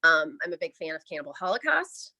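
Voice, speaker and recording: A woman from the American Midwest talks as a podcast interview guest. Her voice is bright and expressive, moving freely in pitch, and she speaks in a candid, chatty, casual way. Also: quick pace, rough recording.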